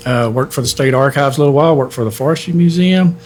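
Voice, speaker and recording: A middle-aged man from Texas, a history center director being interviewed, speaking in a measured way with many filler words. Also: medium pitch, a little mellow, slight background noise. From born in Hawaii, raised in Texas. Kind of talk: conversational interview.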